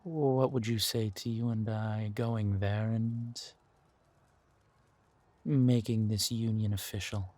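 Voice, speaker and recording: A man's voice: lowish voice, fairly even intonation, takes it slowly, a little mellow. A man acting a menacing, seductive villain king in a roleplay audio drama, with a theatrical, commanding drawl.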